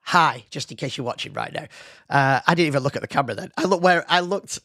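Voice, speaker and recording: A man's voice: on the high side, animated, quick pace, gravelly, bright, forceful tone, dry recording, clean studio sound. A man hosting his own podcast alone, chatty and self-deprecating, rambling with fillers while losing his voice. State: losing his voice, slightly hoarse. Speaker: podcast host. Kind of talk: casual solo podcast chat. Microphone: studio mic with headphones.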